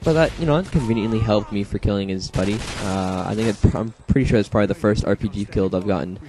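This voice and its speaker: A young man, slightly hoarse from a congested throat, rambling casually through his own match footage with many fillers and self-deprecating asides. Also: fairly low pitch, fast, background noise. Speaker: gameplay commentator. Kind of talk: casual gameplay commentary.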